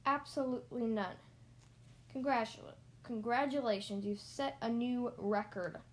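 A strict, bossy rodent driving instructor from a children's storybook, acted out by a woman. He barks orders and scolds in a very high voice, with no patience for excuses. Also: steady tone, measured pace, close to an everyday voice. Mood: scornful. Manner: barking commands, scolding.

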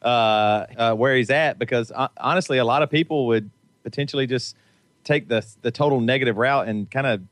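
A man talks in an earnest, preachy way, tripping over and repeating his words. His voice sits in a middle pitch, recorded close and dry. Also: brisk, fairly bright tone, dry recording. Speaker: podcast co-host. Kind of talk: casual chat.

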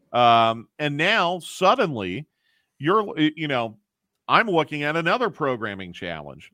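A man's voice: on the high side, pushed and bright, no echo, studio quality. A man who runs a radio station's programming, talking casually on air and thinking aloud with restarts.

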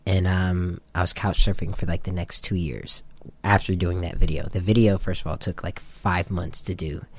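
Deep-voiced American man telling his story. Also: fairly even intonation, normal speed, a little hiss or hum. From Japan. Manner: slangy, candid, anecdotal. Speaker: interviewed music video director and photographer. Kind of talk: casual interview storytelling.

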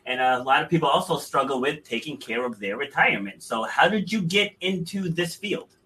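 A male podcast host speaks in a bright, somewhat high voice, recorded from a little distance on a computer mic. He chats casually and stumbles into self-corrections that he laughs off. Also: expressive, brisk, slight background noise. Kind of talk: casual video podcast interview.

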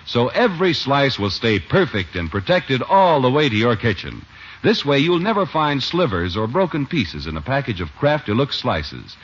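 A man's voice: medium pitch, fairly bright tone, husky. An American man announcing on 1950s radio, reading commercials and narration with polished, emphatic salesmanship. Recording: old muffled radio broadcast recording. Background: faint music.

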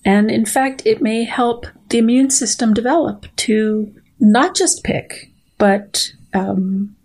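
A woman speaking as a biologist guest in an interview, with measured, precise, scientific phrasing. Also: mid-range voice, a little mellow. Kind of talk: thoughtful conversational interview.

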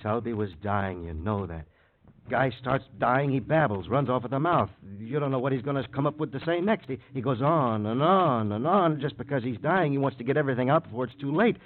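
An actor playing a desperate, cornered American killer confessing to police, agitated and rambling, in 1950s radio drama style. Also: male voice, medium pitch, expressive, brisk, lightly acted. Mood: rambling, haunted. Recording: muffled, narrow old radio recording.

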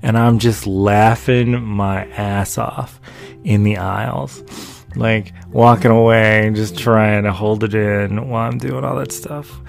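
A gravelly man from North Carolina talks casually about his painting and his day. His voice sits fairly low and rambles along with easy amusement, with soft music far in the background. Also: fairly even intonation, slow pace. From North Carolina, USA. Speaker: painter and video maker. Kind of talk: casual talking-head chat.

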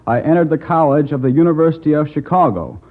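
A man in his twenties answering as a guest in a formal radio interview, polite and measured, as if reciting. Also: on the high side. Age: 20s. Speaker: former quiz contestant, guest. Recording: old radio broadcast, narrow tinny sound.

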